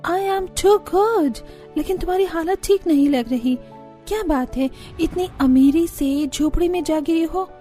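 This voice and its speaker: A woman puts on a flashy rich young cartoon snob, very high-voiced and mocking. She drawls and slips English phrases in, over music. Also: unhurried, dark tone.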